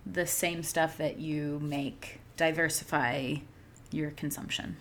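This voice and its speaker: A woman's voice: low-pitched, steady tone, slow, fairly bright tone, slight background noise. A young adult woman from outside Philadelphia, co-hosting a podcast and telling candid, emphatic stories in casual chat.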